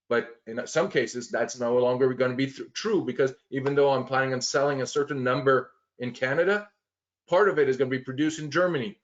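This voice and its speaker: A man walking through planning software on a shared screen, explaining step by step with frequent fillers and restarts. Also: medium pitch, highs cut off. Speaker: software trainer.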